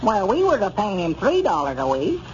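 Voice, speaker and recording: An actor playing a plainspoken old storekeeper with a rural Southern US accent in a 1930s radio comedy. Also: male voice, sits high, wildly swooping pitch, moderate pace. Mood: mildly reproachful. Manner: rustic, homespun phrasing. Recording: muffled, noisy 1930s radio recording.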